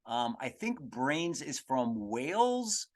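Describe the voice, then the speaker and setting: A man's voice: medium pitch, big pitch swings, slow. A man hosting a podcast in a casual, comedic monologue, rambling, repeating himself for emphasis and swearing freely as he answers questions. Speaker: podcast host.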